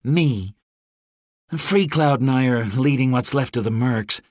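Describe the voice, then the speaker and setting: A woman's voice: very deep voice, a little slow, dry recording, studio quality, voice pushed up. A male narrator voicing a fierce young woman who leads mercenaries under siege, blunt and swearing freely. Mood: defiant, weary. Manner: sharp-tongued, swearing, blunt.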